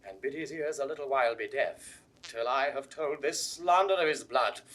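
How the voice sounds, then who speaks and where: An actor playing a defiant, indignant knightly duke in impassioned Shakespearean verse. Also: male voice, mid-range voice, moderate pace, a bit bright, lightly acted. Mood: wounded, indignant. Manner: impassioned declamation with swelling emphasis. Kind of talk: classical Shakespearean verse acting, 1960s recording.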